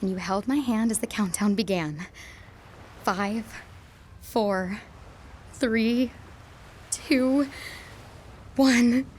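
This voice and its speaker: A woman's voice: a little high, drawn-out words, pauses often, darkish tone, a little hiss or hum. An actress playing a young woman, tender and teasing, recalling a first kiss in a radio drama. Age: young adult. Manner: breathless, giddy, teasing and tender.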